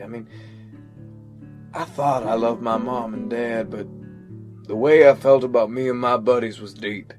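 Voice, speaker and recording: An actor playing an earnest, plain-spoken army veteran, telling his story in a reflective audio drama monologue with halting pauses over music. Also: medium pitch, lightly acted. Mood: earnest, proud. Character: weathered American army veteran, once a hot-tempered youth, earnest.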